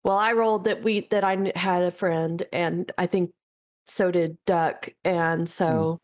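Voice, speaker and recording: A woman with a cold, sniffly, talking casually and haltingly about her tabletop characters, clearing her throat often. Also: lowish voice, fairly even intonation. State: sick, sniffly. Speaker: podcast guest.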